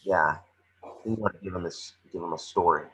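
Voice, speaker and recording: Man playing a deep-voiced, gruff FBI chief. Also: fast talker, noisy background, natural voice. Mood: businesslike. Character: gruff, hard-nosed male FBI chief, blunt and commanding. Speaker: amateur actor in an online table read. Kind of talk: screenplay table read. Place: remote video call. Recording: muffled video call audio.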